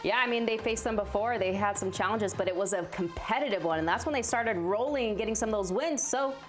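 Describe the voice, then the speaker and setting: A woman's voice: medium pitch, fast, faint music behind. An American woman giving conversational sports commentary as a show reporter.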